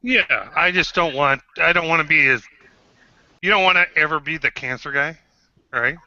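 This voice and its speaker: A man in his fifties, a guest on an online panel, speaking haltingly with many pauses and restarts. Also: fairly high pitch, pushed and bright, no echo, highs cut off. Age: 50s. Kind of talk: casual group chat.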